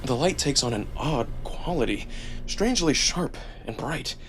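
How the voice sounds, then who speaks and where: A man reading a visual novel in the first person as a theatrical, expressive narrator. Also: mid-range voice, a little slow, slight background noise. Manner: theatrical, expressive, acts out emotion. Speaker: narrator and performer. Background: music.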